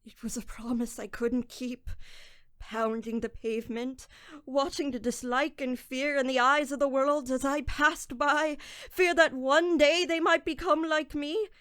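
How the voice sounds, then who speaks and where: A woman playing a desperate, guilt-ridden poor young man in a melodramatic audio horror drama, anguished and halting. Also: high-pitched, fairly even intonation, fairly bright tone, no room sound, clean studio sound. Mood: weary, despairing.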